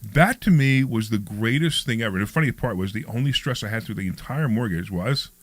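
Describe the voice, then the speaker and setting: A man's voice: mid-range voice, expressive, fairly quick, no room sound. The man in his sixties you'd hear holding court on a weekend call-in show, rattling along jokily and looping back over his points in a dry studio. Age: early 60s. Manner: rambling, repeats himself, jokey. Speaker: radio show host and real estate investor. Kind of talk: casual chat on a talk show.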